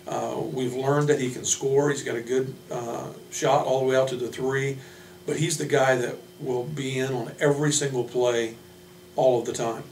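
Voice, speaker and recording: Male American coach, informal speech to introduce players, halting and level, recorded in a roomy space with faint noise. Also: medium pitch, flat delivery, a little mellow. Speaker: head basketball coach. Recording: echoey room from a distance, hum, noise.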